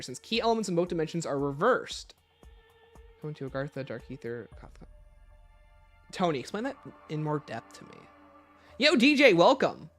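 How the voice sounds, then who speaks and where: Animated higher-voiced man hosting a livestream. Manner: conversational, breaks off to greet and thank viewers. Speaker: livestream host. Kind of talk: casual livestream Q&A, reading and answering chat questions.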